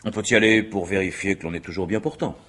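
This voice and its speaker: A probing judge in a radio mystery, really deep voice, bit rough, wordy and very proper. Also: male voice, fairly bright tone. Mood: formal, probing. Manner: formal, measured, wordy, legalistic. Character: courteous, methodical, probing male examining magistrate. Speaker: actor in a radio mystery play. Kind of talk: French radio mystery drama acting.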